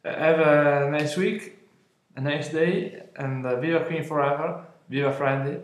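A man in his twenties from Italy, speaking English with an Italian accent, unboxing an album at home, halting with many fillers and apologies. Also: a little high, fairly even intonation, reverberant, poor audio. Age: 20s. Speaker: vlogger. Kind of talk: casual unboxing vlog. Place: room at home, indoors. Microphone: webcam at arm's length.

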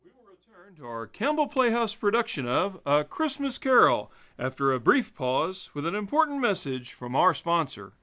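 A man's voice: fairly high pitch, big pitch swings, moderate pace, heavy background noise. A man announcing a radio drama in old-time broadcast style. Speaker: programme announcer.

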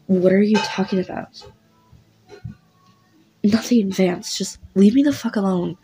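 A woman's voice: mid-range voice, unhurried, dark tone, slightly rough, soft background music, rough recording. A woman voicing a hurt, defensive young woman who curtly asks to be left alone. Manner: curt, pleading to be left alone.

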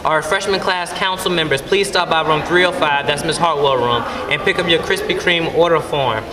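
A man's voice: fairly high pitch, normal speed, roomy sound, background noise. A teenage boy reading school announcements as student news anchor. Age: teens. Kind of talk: school announcements read aloud. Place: school gym, indoors.

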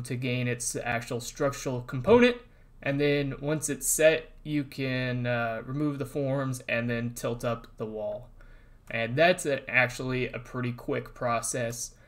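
Man, mid-pitched, casual voice-over narration, relaxed explaining, lots of ums and uhs. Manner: relaxed, explanatory, with frequent fillers. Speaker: construction update host. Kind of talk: casual narrated site update over drone footage. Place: voice-over, not recorded on site.

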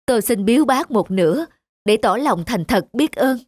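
A woman's voice: on the high side, lively intonation, moderate pace, husky, no room sound, clean studio sound. A woman voicing an earnest, effusive middle-aged male merchant in a folktale cartoon, distraught and grateful. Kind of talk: Vietnamese folktale cartoon acting.

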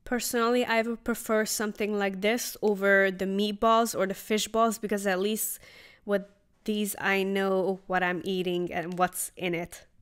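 A woman's voice: mid-range voice, level tone, unhurried. A woman travel vlogger chatting casually about market food as she tastes it. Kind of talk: casual travel vlog narration. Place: outdoor night market.

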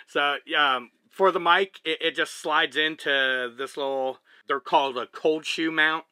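A man in his thirties with a Texan accent explains his camera rig step by step, relaxed and chatty. His voice is bright and sharp. It is recorded dry and close inside a small truck cab. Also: a little high, unhurried, dry recording. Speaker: vlogger. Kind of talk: casual gear demonstration. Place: small living cabin of a truck, indoors.

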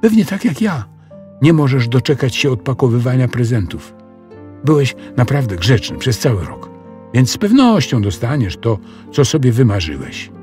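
A man's voice: mid-range voice, big pitch swings, a little mellow, soft background music, natural voice. A man voicing a sensible young schoolgirl with an emphatic, chiding manner in a children's audio story. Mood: tender. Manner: emphatic, drawn-out questions.

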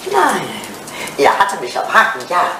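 A woman's voice: lowish voice, huge pitch swings, a little slow. An actress playing an elderly, curious English lady in a 1980s German radio drama, with a ladylike, inquisitive and theatrical manner. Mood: proud, insistent. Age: older. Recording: distant, roomy, noisy old radio recording.

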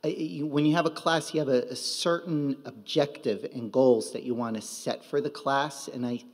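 Halting male teacher in a reverberant hall. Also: mid-range voice, fairly even intonation. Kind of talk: informal ceremony speech. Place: large indoor auditorium.

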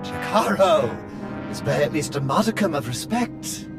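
A high-strung protocol droid in a video game, prim and fretful, his high voice leaping all over the place. Game music plays underneath. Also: animated, unhurried. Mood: indignant. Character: fussy, panicky male protocol droid.

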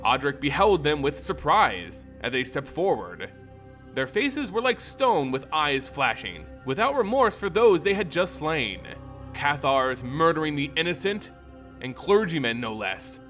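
A man reading a fantasy tale as a dramatic narrator, with theatrical, deliberate phrasing. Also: on the high side, very animated, a bit bright, soft background music. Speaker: storyteller, narrator.

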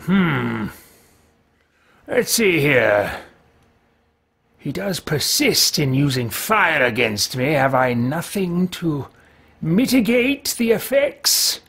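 A man's voice: on the high side, very animated, takes it slowly, creaky, pauses often, fairly bright tone, slightly rough, full character voice. A man roleplaying an elderly, earnest wizard in a video game, talking to himself in formal, old-fashioned, theatrical language. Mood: pensive, muttering. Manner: formal, archaic, theatrical self-talk.

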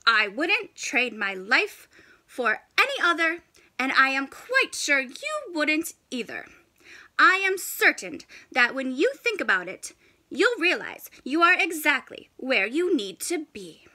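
Woman doing a stately, slow-spoken wise walrus. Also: high voice, sing-song, pushed and bright, heavily acted. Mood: solemn, encouraging. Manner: stately, formal, measured, earnest. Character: wise, courteous, pompous old male walrus.

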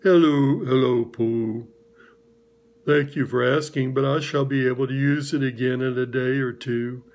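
A man voicing a gloomy old donkey in a storybook reading, speaking in a slow, sorrowful drawl. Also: mid-range voice, fairly even intonation, a little mellow, natural voice. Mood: mournful.

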